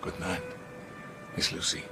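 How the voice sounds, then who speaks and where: An actor playing a watchful, tender, earnest schoolmaster in a period drama, murmuring hesitantly and repeating words. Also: male voice, unhurried, music in the background, close to an everyday voice. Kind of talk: period audio drama acting.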